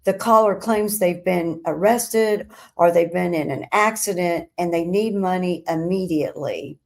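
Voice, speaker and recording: Slow, low-pitched woman narrating an explainer. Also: vocal fry. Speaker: host of a crime statistics show.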